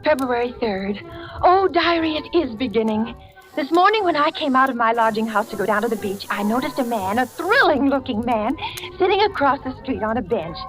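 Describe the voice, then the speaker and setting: A woman's voice: a little high, animated, fairly quick, close to an everyday voice, poor audio. An actress playing a shy, romantic spinster in her forties, breathless and girlish, in a 1940s radio suspense drama. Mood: excited, dreamy. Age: 40s. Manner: breathless, girlish, fluttery, hesitant. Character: shy, gushing, romantic spinster of forty writing her diary. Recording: hissy old radio recording with music.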